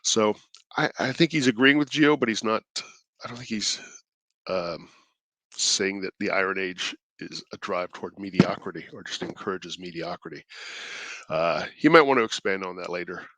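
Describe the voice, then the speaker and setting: A man with a stuffed-up cold voice, hosting his own channel in casual, halting commentary, mild-mannered and thinking aloud. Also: sits low, a bit bright, no echo, low-quality recording. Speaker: indie creator and channel host.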